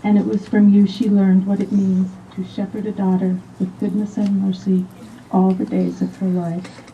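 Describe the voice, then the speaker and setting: A woman officiating a wedding in a garden, speaking in a lyrical, measured way and quoting poetry and scripture. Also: medium pitch, little pitch movement, dull audio. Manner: lyrical, measured, warm toward the couple. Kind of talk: ceremonial address, poetic readings. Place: outdoor garden. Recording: distant outdoor recording with faint noise.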